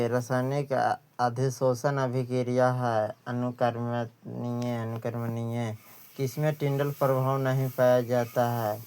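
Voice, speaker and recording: A man with an Indian accent teaching an exam-prep lesson, reading out questions and answers haltingly and repeating phrases. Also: medium pitch, a little mellow, clean tone.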